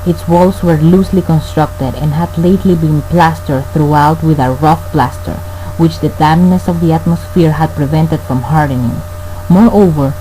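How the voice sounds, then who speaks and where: A man playing a brooding murderer who narrates his crime in a radio play, formal and deliberate. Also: a little high, dark tone, lightly acted, rough recording. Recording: faint hum and noise.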